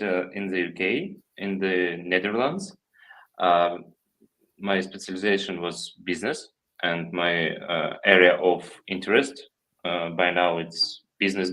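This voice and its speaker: Deep-voiced man from Kazakhstan speaking halting English. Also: a bit bright, rough recording. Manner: halting non-native English with many fillers, laughs easily. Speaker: guest. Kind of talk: online interview.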